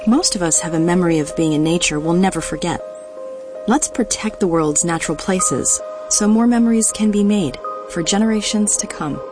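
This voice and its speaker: A woman reading a calm scripted ad voice-over. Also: on the low side, moderate pace, music in the background. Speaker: ad narrator.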